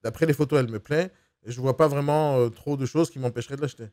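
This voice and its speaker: Pushy fast-talking man on a call. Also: medium pitch, darkish tone. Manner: pushy, insistent, repeats himself. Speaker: mystery shopper posing as buyer. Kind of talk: recorded phone call.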